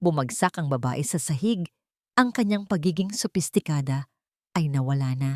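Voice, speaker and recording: A woman narrating a scripted dramatic story in a measured, theatrical storytelling manner. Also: on the low side, a little mellow, no room sound, clean studio sound.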